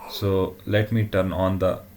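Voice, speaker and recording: A man presenting an informal technical demo in a halting way, often checking in with short tag questions. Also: low voice, flat delivery, a little mellow. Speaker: tutorial presenter.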